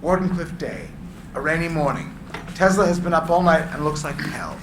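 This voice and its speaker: Higher-voiced man narrating in echoey room. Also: fairly even intonation, normal speed. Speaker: narrator of stage directions. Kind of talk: dramatic scripted narration, live reading. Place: live reading in a room. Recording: echoey room, clear background noise.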